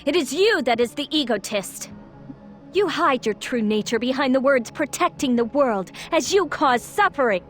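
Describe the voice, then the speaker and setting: A woman's voice: high-pitched, expressive, moderate pace, soft background music. A woman voicing a resolute, noble young princess in a fantasy video game, speaking in a composed, formal, earnest way. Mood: defiant. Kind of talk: video game cutscene acting.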